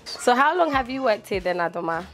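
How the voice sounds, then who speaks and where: A woman's voice: on the high side, sing-song, brisk. A young woman hosting a vlog, chatting, asking questions and laughing often in an outdoor restaurant kitchen yard. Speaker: vlogger host. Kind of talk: casual vlog chat and interviewing. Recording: music playing loudly behind.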